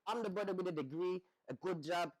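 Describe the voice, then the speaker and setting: A man's voice: sits high, fairly quick, darkish tone, poor audio. A man in a group discussion, talking about himself in a self-mocking way.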